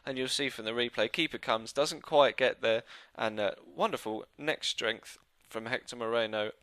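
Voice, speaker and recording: Bright, congested man commentating gameplay. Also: medium pitch, moderate pace, no echo. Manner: chatty and relaxed, many "uh" fillers and restarts. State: slightly congested from a coming cold. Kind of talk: casual recorded commentary over football game footage. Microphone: new desk microphone.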